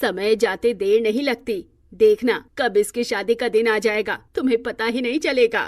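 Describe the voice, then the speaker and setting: A woman's voice: fairly high pitch, normal speed, dry recording, clean studio sound, close to an everyday voice. A woman playing an affectionate, eager old grandmother in a cartoon moral story, speaking in an emphatic, coaxing way. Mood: affectionate, teasing.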